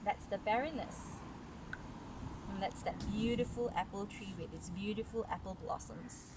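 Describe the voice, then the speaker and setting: A woman reading a picture book aloud to a young child, with gentle, encouraging, chatty asides to explain the pictures. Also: medium pitch, moderate pace. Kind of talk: casual read-aloud storytelling with chatty asides. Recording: noisy recording with vehicle-like background hum.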